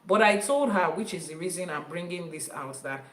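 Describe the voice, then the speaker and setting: A woman's voice: lowish voice, expressive, a little fast, a bit bright, echoey room. A Nigerian woman whose first language is Yoruba, teaching as an Ifa priestess and stressing her points by repeating them. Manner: emphatic, repeats key phrases for stress. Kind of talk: teaching talk to an online audience.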